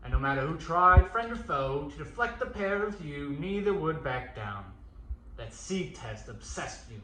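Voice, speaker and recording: A man's voice: fairly high pitch, lively intonation, measured pace. Man acting taunting warrior in an echoey room. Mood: scornful, taunting. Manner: taunting, declamatory, emphatic. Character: envious, scornful warrior challenging a hero. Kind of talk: theatrical verse storytelling. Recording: roomy echo, faint noise.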